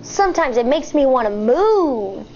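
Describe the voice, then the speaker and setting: An American woman in her thirties, a teacher reading a picture book aloud to children in an expressive, deliberate way. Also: high-pitched, muffled recording, a little hiss or hum. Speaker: elementary school teacher.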